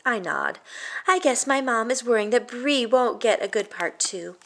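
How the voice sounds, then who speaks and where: A woman with a fairly high voice reads a children's picture book aloud. Her delivery is expressive and animated, shaped for young listeners. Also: normal speed, gravelly, slight background noise. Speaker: storybook reader.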